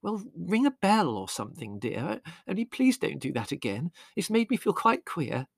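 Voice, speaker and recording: A man voicing a flustered middle-aged mother who fusses in a high, shaken, pleading voice. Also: big pitch swings, moderate pace, creaky, slightly rough, no echo, studio quality, exaggerated voice. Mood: fretful.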